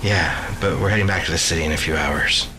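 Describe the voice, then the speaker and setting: A man's voice: low voice, a little fast, noisy background, lightly acted. An American actor playing a protective, rattled middle-aged father in an audio drama, urgent and commanding when alarmed. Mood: subdued. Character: protective, rattled father facing an eerie mystery.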